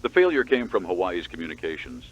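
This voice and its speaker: A man's voice: sits low, very animated, fast talker, highs cut off. A man playing a guarded, apologetic government spokesman holding a press briefing. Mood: guarded, official.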